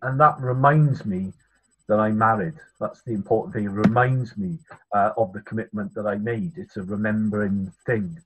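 A Welsh man in his thirties, a priest, giving a chatty school assembly talk from his study over a video call, with many filler pauses. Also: lowish voice, steady tone, a bit bright, breathy edge, poor audio. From Wales. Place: home study, indoors. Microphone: laptop webcam on video call.

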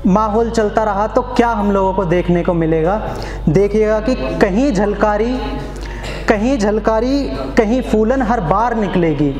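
High-voiced young man reciting poetry. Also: roomy sound, long reverb tail. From Uttar Pradesh, India. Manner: declamatory, repeats lines for emphasis. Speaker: poet. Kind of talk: open mic poetry recitation. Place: small indoor open mic venue. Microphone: stand mic.

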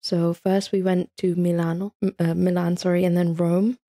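A woman's voice: fairly low pitch, little pitch movement, moderate pace, dark tone. A twenty-two-year-old woman from England, a podcast guest chatting casually and laughing often.

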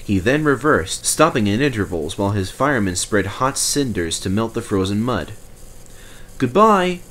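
A man reading a children's story aloud in expressive, scripted narration. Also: lowish voice, normal speed, slight background noise. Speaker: storybook reader.

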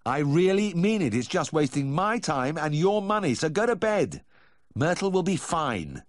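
A man's voice: mid-range voice, huge pitch swings, moderate pace, no room sound, studio quality, pitched above the performer's own voice. A man voicing a weary, exasperated country vet in a storybook, with emphatic, clipped delivery.

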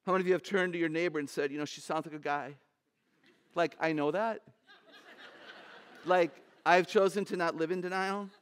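Woman in her sixties, low voice, animated humorous speaker, large stage, headset mic. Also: normal speed, long pauses. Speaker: conference speaker.

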